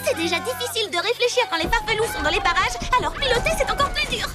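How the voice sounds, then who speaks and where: A woman voicing an earnest, spirited young superhero girl in a French cartoon dub, with expressive delivery and music behind. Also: extremely high pitch, fast, over a noisy crowd. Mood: urgent.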